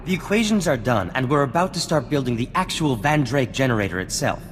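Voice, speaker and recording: An actor playing a vain, charming scientist in a video game, talking in grandiose, courtly phrases. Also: male voice, medium pitch, expressive, fairly quick, long reverb tail, close to an everyday voice. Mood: wheedling, courtly. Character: flamboyant, vain, charming male scientist and self-styled genius. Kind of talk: scripted video game acting. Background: music.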